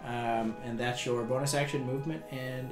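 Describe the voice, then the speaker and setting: Man, dungeon master, casual halting narration, mid pitch, roomy sound, music underneath. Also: steady tone. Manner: casual, halting, with filler ums. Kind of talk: running a tabletop game at a table.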